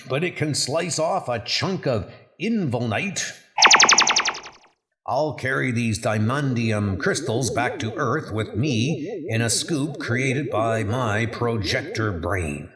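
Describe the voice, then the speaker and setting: Man acting a weary old superhero, slow and halting. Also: medium pitch, expressive, over loud music. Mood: determined. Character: aged weary male superhero, doubting his fading powers.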